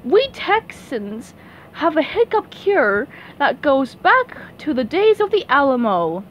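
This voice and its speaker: A woman's voice: high voice, big pitch swings, takes it slowly, slight background noise, full character voice. A woman voicing a folksy, emphatic female squirrel in a hobby cartoon dub. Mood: confident.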